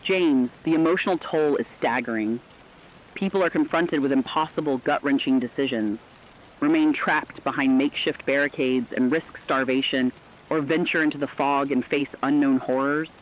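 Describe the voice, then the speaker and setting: Through a dull, lo-fi radio sound with light rainy noise comes a man acting as a medium-pitched news analyst. The reporting is solemn, formal and emphatic, in the manner of a scripted apocalypse radio drama. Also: moderate pace, natural voice. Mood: somber, urgent. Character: somber radio news analyst describing human toll of apocalypse.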